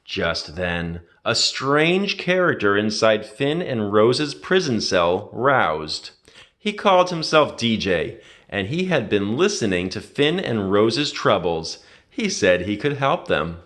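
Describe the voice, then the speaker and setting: A man reading a children's adventure story aloud in an expressive, dramatic storytelling manner. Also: fairly low pitch, slow. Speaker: read-aloud narrator.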